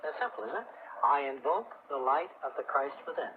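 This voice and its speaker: A man explaining things as an expert guest on an old live radio call-in show, in a halting, deliberate manner. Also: fairly high pitch, sing-song, narrow, tinny audio. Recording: noisy, phone-thin old radio broadcast tape. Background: clear background noise.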